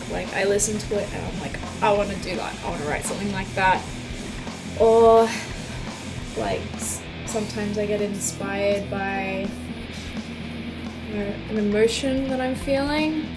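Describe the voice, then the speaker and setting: A woman's voice: medium pitch, poor audio. A woman in her twenties chatting casually in a video, answering viewer questions with frequent likes and ums. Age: 20s. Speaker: YouTube musician. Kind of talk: casual Q and A vlog.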